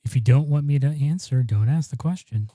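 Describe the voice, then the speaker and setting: A man's voice: mid-range voice, a little fast, warm tone, no echo. A man co-hosting a podcast in casual chat, rambling with many ums and restarts, on a studio dynamic mic. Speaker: podcast co-host. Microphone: broadcast dynamic studio mic.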